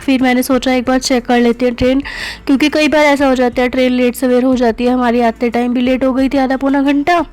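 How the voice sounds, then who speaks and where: A woman's voice: high voice, little pitch movement, a little fast, darkish tone. A young woman narrating her family vlog in voice-over, chatty and full of fillers. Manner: chatty, many fillers like "na" and "matlab". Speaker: family vlogger.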